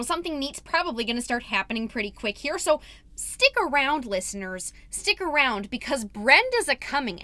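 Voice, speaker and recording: A suburban PTA mom hosting her own comedy podcast. Her voice is high and sharply bright. She rambles earnestly, doubting herself, and reaches for grand declarations. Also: no echo. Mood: defiant, excited. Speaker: podcast host character. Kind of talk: comedic confessional monologue.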